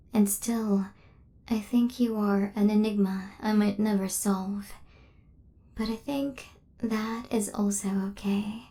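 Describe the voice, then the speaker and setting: A woman playing an affectionate, literal-minded killer robot in an intimate ASMR roleplay, speaking softly and often near a whisper, in measured, formal phrasing. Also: medium pitch, close to an everyday voice. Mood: tender, wondering.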